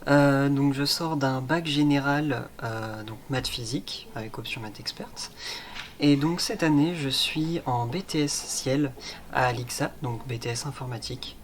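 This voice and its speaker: A man's voice: mid-range voice, unhurried. 18yo guy, earnest, lots of euh, faint noise. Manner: earnest, hesitant. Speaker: student ambassador. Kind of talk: casual testimonial.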